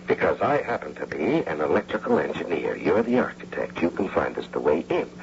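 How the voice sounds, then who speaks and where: A man's voice: extremely low pitch, very animated, brisk, heavily acted. A man acting in a radio drama as a scheming, bitter husband, insistent and persuasive, repeating himself for emphasis. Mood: pressing, persuasive. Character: scheming, bitter, persuasive husband and electrical engineer turned would-be robber. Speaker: actor narrating and playing the lead. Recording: muffled, noisy old radio broadcast.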